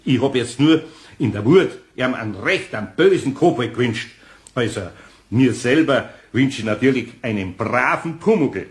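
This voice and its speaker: A man's voice: medium pitch, lively intonation, normal speed, lightly acted. Man playing older bavarian handyman guy, grumbly but kind, homely muttering, voice goes up and down a lot, kids radio play. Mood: soothing, gentle. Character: good-natured, grumbling older Bavarian craftsman, fatherly and indulgent. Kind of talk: audio play acting for children.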